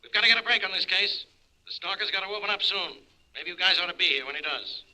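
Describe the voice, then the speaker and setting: Sharp, forceful police inspector. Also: male voice, high voice, animated, fast talker, heavily acted, rough recording. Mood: exasperated, commanding. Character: brusque, harried male homicide police inspector. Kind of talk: old-time radio drama acting.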